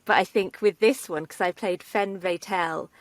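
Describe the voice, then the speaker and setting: A woman's voice: on the low side, normal speed, no room sound. A woman in her thirties talking casually and animatedly in an interview, recorded in a small vocal booth. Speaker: voice actor, cast member interviewed. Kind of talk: casual chat in a video interview.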